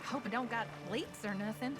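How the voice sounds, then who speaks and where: A woman's voice: fairly high pitch, brisk, over loud music, close to an everyday voice. A woman playing a wry, tough but affectionate frontier wife in a video game, with an American accent and a blunt, teasing way of talking. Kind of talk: dramatic video game acting.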